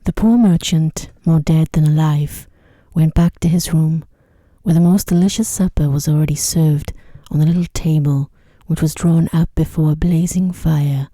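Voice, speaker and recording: Very soft, mellow, breathy woman narrator. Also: low voice, fairly even intonation, moderate pace, no echo. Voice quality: soft, breathy, often near whisper. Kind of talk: soft-spoken ASMR sleep story narration. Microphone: close microphone.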